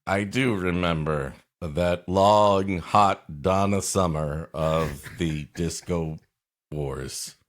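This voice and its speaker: A man's voice: low voice, drawn-out words. A man co-hosting a comedy podcast, cutting in with dry wisecracking asides. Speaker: co-host.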